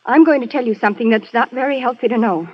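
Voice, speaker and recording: A woman's voice: on the high side, fast talker, breathy edge. A secretive spy on the run in an old radio play. She speaks with earnest, anxious urgency, and the recording is dull and vintage. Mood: grave, insistent. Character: frightened, secretive female professional spy on the run. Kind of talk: 1940s radio acting.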